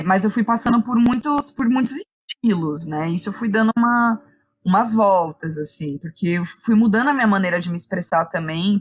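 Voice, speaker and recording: Brazilian woman talking casually as an interview guest. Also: lowish voice. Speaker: interview guest, playwright and director. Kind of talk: casual reflective interview conversation.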